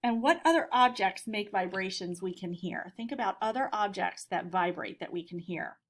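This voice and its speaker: A woman's voice: medium pitch, expressive, normal speed. An American woman teacher giving an instructional read-aloud for children, putting simple questions to her pupils. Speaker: elementary school teacher.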